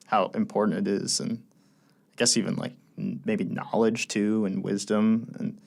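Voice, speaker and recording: A man with a very deep, gravelly voice co-hosts a radio interview. He draws out his guest in an affirming, encouraging way. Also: level tone, normal speed, darkish tone. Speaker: radio show co-host.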